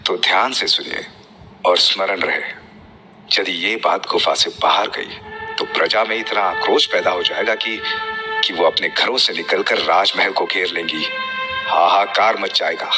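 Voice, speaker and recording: Scheming ancient indian royal minister, really low voice, bossy and measured, loud music under it. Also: male voice, steady tone. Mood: grave, conspiratorial. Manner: authoritative, measured, manipulative. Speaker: actor in a historical drama. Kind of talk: theatrical historical drama dialogue in formal Hindi. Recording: loud dramatic music behind.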